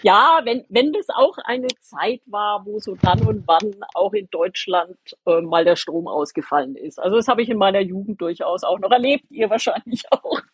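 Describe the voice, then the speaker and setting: Middle-aged female panelist, husky and friendly, dry close sound. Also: medium pitch, normal speed, few pauses, no room sound. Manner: chatty, hesitant, friendly. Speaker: podcast panelist. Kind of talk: casual remote chat. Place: indoor room, holiday home.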